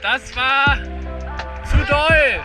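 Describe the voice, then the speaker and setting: A man's voice: very high-pitched, extremely slow. A man from northern Germany, a kayaker, talking casually to a camera. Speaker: kayaker on an expedition. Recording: loud music over outdoor camera audio.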